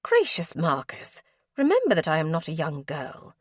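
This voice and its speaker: A woman's voice: low-pitched, wildly swooping pitch, moderate pace, slightly rough, no room sound, studio quality, full character voice. A woman voicing a fussy older aristocratic aunt who is busy matchmaking, speaking in a genteel, coaxing way. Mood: delighted.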